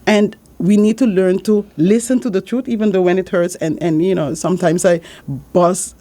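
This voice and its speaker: A woman's voice: on the low side, steady tone, moderate pace, warm tone. A woman with a Dutch accent, a business support consultant from the Caribbean, earnestly giving advice in an interview and repeating her key points. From Saint Martin, Caribbean. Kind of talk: interview answers.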